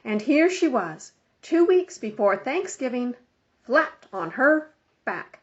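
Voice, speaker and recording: A woman narrating a children's book aloud in an expressive, scripted storytelling style. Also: high-pitched, takes it slowly. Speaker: storybook reader. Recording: dull lo-fi home recording.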